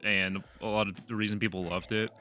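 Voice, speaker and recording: Young male co-host, low but bright voice, dry and skeptical, faint music. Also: level tone, brisk. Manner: skeptical, dry, mockingly quotes others. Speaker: podcast co-host. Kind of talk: casual chat.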